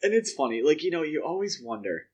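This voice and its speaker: A man's voice: a little high, big pitch swings, fast, darkish tone, slightly rough, muffled recording. A man co-hosting a podcast, casually discussing films in a conversational, explanatory way. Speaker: podcast co-host.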